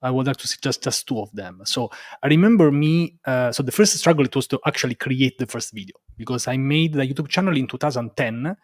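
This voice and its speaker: A man with a strong accent from Rome speaks as a guest in a casual interview. His English is non-native and halting, with phrases often repeated and a self-deprecating touch. His voice sits at a middling pitch, in a very dry, close sound. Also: lively intonation, dry recording. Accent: Roman Italian. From Italy. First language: Italian. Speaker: interview guest, developer advocate and content creator.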